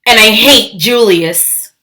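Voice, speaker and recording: A woman voicing a bossy, jealous young girl mouse in a children's storybook, loud and emphatic. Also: medium pitch, takes it slowly. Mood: imperious, hateful. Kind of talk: children's storybook read-aloud.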